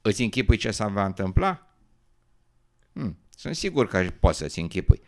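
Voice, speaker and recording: A man's voice: lowish voice, big pitch swings, darkish tone. A man playing a young newt, an earnest, adventurous dreamer given to worry and doubt, in an educational radio drama. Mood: worried, sorrowful.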